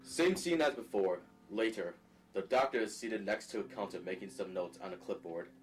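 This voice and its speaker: A man's voice: on the low side, normal speed, faint music behind. A man narrating scripted stage directions for an audio drama.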